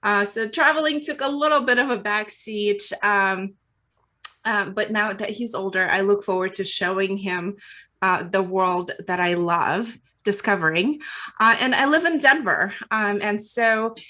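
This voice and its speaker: A woman in her thirties, born in Russia with Russian as her first language, introducing herself on a roundtable with many pauses and fillers. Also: medium pitch, bright, forceful tone. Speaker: panelist. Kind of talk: video call roundtable.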